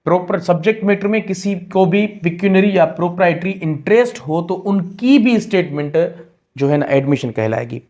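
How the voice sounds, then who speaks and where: A man giving an explanatory law lecture, mixing two languages and deliberately repeating phrases as he explains. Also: high-pitched, a little mellow. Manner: code-switches between Hindi and English. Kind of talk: explanatory lecture with examples, reading statutes aloud.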